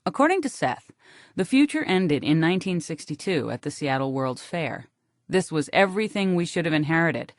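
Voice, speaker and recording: A woman with an American accent reads a literary first-person audiobook in a wry, deadpan manner, close on the microphone. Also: deep voice, moderate pace, no room sound. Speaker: audiobook narrator.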